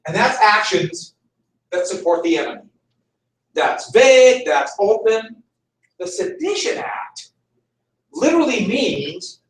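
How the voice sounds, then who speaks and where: A male history teacher giving a conversational classroom lecture, repeating key points for emphasis. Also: high voice. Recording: echoey room, mic far off.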